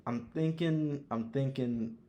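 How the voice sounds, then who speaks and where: A man's voice: mid-range voice, slow pace, mellow. A man co-hosting a podcast, chatting casually and offhandedly with slang and swearing. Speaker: podcast co-host. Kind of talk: casual chat, ranking anime villains and films.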